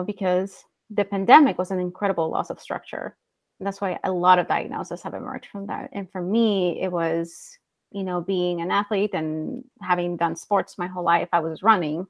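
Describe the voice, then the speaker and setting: Candid woman, lowish voice, close dry sound. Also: no echo. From El Salvador. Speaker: mental health therapist. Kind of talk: casual personal storytelling.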